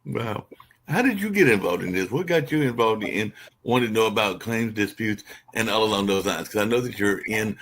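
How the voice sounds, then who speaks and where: Very low-voiced male radio host, rambling, chatty questions. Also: expressive, a little fast, a bit bright, slight background noise. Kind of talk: radio interview.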